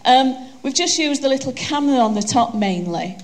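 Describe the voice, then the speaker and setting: A woman's voice: sits high, expressive, moderate pace, a little hiss or hum. A woman with a broad Yorkshire accent giving a chatty, joking conference presentation on a handheld microphone. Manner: chatty, self-deprecating, joking.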